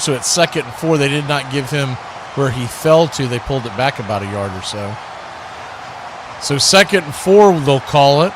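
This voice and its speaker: Male sportscaster, sharp forceful tone, live football play-by-play, vivid verbs, punchy phrasing, a little background noise. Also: on the high side. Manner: punchy short phrases, colourful action verbs. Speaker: play-by-play commentator, watch party host. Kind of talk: live American football radio-style commentary.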